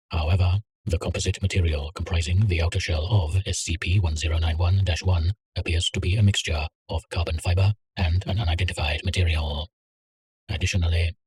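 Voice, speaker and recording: A man reading a containment document aloud in a measured, clinical way. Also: deep voice, darkish tone. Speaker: narrator. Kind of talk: scripted reading of a containment file.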